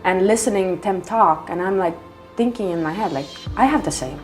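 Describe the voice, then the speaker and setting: A woman in her thirties speaking haltingly in a documentary interview, with many pauses and fillers. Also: medium pitch, darkish tone. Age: 30s. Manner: halting, full of "like" and restarts. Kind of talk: documentary interview, personal testimony. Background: faint music.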